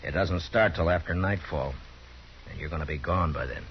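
Super deep steady old-west marshal, muffled radio with music. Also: male voice, a little hiss or hum. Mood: firm. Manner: calm, firm, measured. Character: stern, steady frontier marshal. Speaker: narrator and lead. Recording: dull 1950s radio broadcast.

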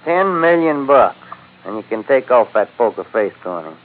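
An actor playing a rough American gunman henchman with a folksy, slangy drawl in an old-time radio drama. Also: medium pitch, sing-song, moderate pace, close to an everyday voice. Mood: cocky, easygoing. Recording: noisy, tinny vintage radio recording.

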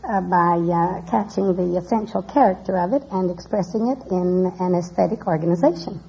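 A woman painter as a quiz contestant, speaking in careful, formal words with hesitant pauses. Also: on the low side, gravelly. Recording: muffled noisy old radio, audience behind.

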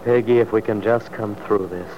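Guy acting troubled young sergeant in old radio drama, halting n earnest, dull noisy sound. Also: lowish voice, steady tone, lightly acted. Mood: troubled, tender. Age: young adult. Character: troubled young army sergeant, guarded and tender. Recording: muffled, noisy vintage radio recording.

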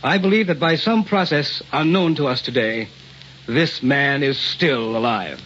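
A hissy, dull vintage radio recording of an actor as an earnest professor. He speaks at a middling pitch, measured and formal, a puzzled man of science in a radio play. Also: close to an everyday voice. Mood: resigned. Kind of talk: old-time radio drama acting.

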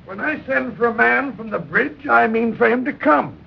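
An actor playing a hard, domineering wartime ship's captain, barking curt, sarcastic orders in an old radio drama. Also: male voice, very high voice, normal speed, creaky, exaggerated voice. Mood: stern, scornful. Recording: muffled, noisy old radio recording.

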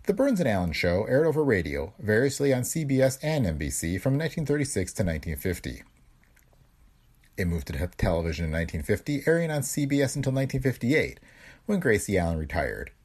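Low, lively podcast host, a man. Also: quick pace, husky. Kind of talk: scripted podcast introduction.

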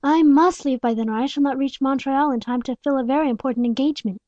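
A woman voicing a gentle, refined famous singer who speaks earnestly. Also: high voice, quick pace, no echo, lo-fi sound, close to an everyday voice.

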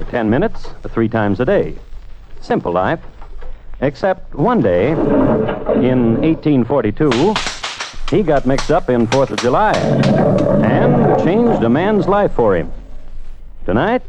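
A man hosting and narrating a scripted old-time radio true-crime show in a deliberate, dry, literary way. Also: mid-range voice, background noise. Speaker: host and narrator. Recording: muffled vintage radio recording with music.

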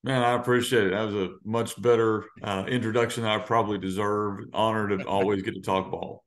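A man, a high school football coach, telling stories in a relaxed, easygoing way as a podcast guest. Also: a little fast. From United States. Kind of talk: casual storytelling in interview.

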